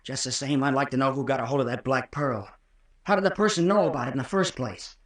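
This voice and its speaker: A man's voice: mid-range voice, fast talker, natural voice. An actor playing an earnest, suspicious young American hero, protective of his sweetheart, in an old-time radio horror drama. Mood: accusing, insistent. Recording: roomy, distant old radio recording.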